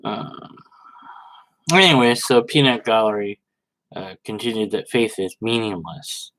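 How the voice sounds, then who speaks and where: A man giving informal, sarcastic commentary and dramatic readings, with a halting, theatrical manner. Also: lowish voice, fairly bright tone, poor audio. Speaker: host reading and commenting on social media posts.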